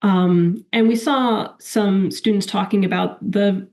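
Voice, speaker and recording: A woman's voice: medium pitch, steady tone, a little mellow. Woman walking through study results, slightly crackly from a cold, lots of ums. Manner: measured, with frequent fillers and restarts. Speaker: researcher presenting evaluation results. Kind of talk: webinar presentation.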